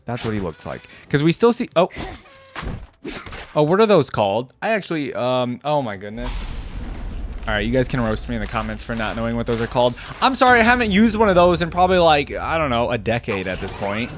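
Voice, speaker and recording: A man's voice: mid-range voice, sing-song, brisk, soft background music. A man giving casual reaction commentary on video game skins, rambling and interjecting as he thinks aloud.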